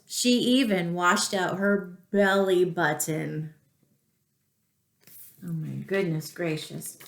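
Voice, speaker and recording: Slow-paced woman storyteller in an echoey room. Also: mid-range voice. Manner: expressive, deliberate, with asides to listeners. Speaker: children's storyteller at a school. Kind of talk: storybook read-aloud to young children.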